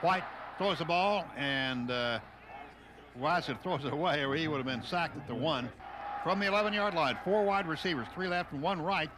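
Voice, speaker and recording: A man's voice: a little high, normal speed. A man doing live play-by-play of a football game on the radio, describing the action in clipped bursts over a noisy outdoor stadium. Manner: clipped running description, excitable on big plays.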